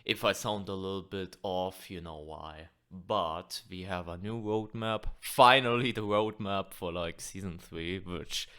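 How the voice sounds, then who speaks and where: A man's voice: sits low, unhurried, few pauses, fairly bright tone, smooth. A young man hosting a gaming channel, talking casually with lots of fillers while sick and losing his voice. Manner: casual, filler-heavy, swears. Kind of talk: casual video commentary.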